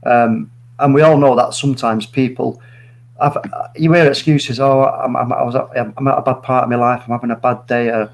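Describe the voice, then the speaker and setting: A man's voice: medium pitch, steady tone, brisk. A man in his late forties speaking as a guest on a live stream. He is earnest and rambling, circling back on himself often, with an everyday mid-range voice. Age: 40s. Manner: earnest, rambling, many restarts. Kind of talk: opinionated live chat.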